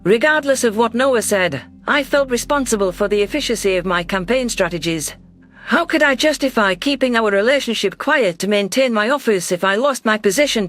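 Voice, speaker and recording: A man's voice: very high voice, a bit bright. A man reading a science fiction story aloud as a dramatic first-person narration. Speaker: audiobook narrator. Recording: music bed under voice.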